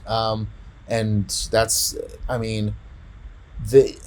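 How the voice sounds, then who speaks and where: A man in casual podcast chat, retelling a story in a rambling, halting way and often repeating words. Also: on the low side, level tone, a little mellow. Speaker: podcast co-host.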